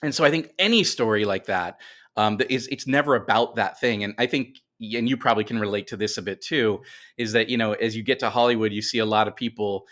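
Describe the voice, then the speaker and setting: A man speaking as a writer guest in a casual interview, explaining his creative process in a halting way with repeated self-corrections. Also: lowish voice, fairly bright tone. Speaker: podcast guest, writer of comics and screenplays.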